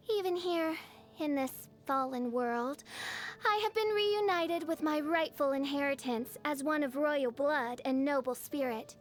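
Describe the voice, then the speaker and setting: An actress voicing a theatrical, self-styled dark princess, a teenage girl with pompous, archaic diction, for a video game. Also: sits high. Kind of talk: video game character voice lines.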